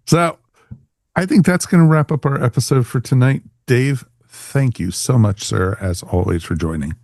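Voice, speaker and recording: A man's voice: medium pitch, moderate pace, gravelly, a little mellow, no echo, studio quality. A man hosting a podcast in a casual, chatty, informal way. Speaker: podcast host.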